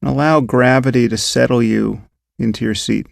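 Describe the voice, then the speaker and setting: A man calmly guiding a meditation, giving slow, gentle instructions about breath and sensation. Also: mid-range voice, gravelly, dry recording, studio quality. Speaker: meditation guide. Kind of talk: guided meditation.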